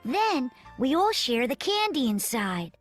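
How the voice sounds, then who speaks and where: A woman reading simple lines for a children's learning video in a bright, sing-song way. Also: high voice, very animated, slow pace, soft background music. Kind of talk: scripted narration for children.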